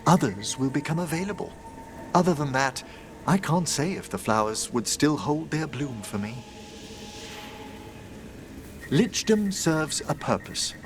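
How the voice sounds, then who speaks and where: A man's voice: mid-range voice, background noise, lightly acted. A man playing a refined, lilting necromancer scholar. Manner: formal, eloquent, measured phrasing. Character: refined older male necromancer scholar, gentle and wistful. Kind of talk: video game dialogue acting.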